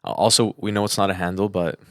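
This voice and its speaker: A man's voice: low voice, fairly even intonation, fast talker, a bit bright, no echo. A man co-hosting a podcast in casual, unscripted banter, joking and repeating phrases for emphasis, close on the microphone. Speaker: podcast co-host.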